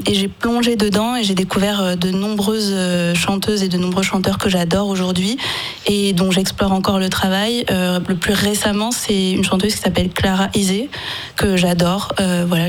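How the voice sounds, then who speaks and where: A woman singer-songwriter answering questions in a casual radio interview, thoughtful and hesitant, with many fillers. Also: mid-range voice. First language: French.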